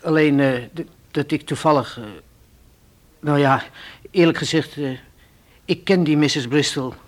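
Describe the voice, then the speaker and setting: An actor playing a nervous, defensive young nightclub entertainer in a radio play, with a hesitant, evasive way of talking. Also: male voice, a little high, steady tone, moderate pace, natural voice. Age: young adult.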